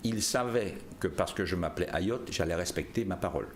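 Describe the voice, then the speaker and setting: A man from Martinique, a guest author, talking haltingly with many restarts in a live studio interview. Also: deep voice, slight background noise.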